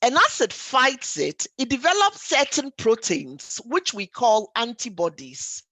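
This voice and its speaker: Slow, animated woman giving a health lecture. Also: a little high, no echo, rough recording. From Ghana or the Caribbean. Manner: didactic, emphatic, repeats key points, asks rhetorical questions. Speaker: doctor giving a health webinar. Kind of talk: explanatory lay health lecture.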